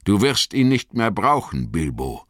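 A man voicing a grave old wizard in an audiobook, stern and patient, with a deliberate, authoritative manner. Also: lowish voice, very animated, fairly bright tone, no room sound, studio quality, pitched above the performer's own voice, exaggerated voice. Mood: firm, patient.

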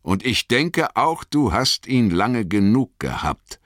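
A man voicing a grave old wizard in an audiobook, stern and patient, with a deliberate, authoritative manner. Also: mid-range voice, big pitch swings, fairly bright tone, dry recording, clean studio sound, pitched above the performer's own voice. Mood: firm, patient.

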